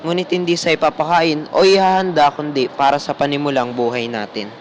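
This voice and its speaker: A thrifty young Filipino husband played by an actor in a radio drama, with a fairly high voice. He reasons politely but stubbornly. A little noise sits behind him. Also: steady tone, fairly quick, close to an everyday voice. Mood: exasperated reasoning. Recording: faint background noise.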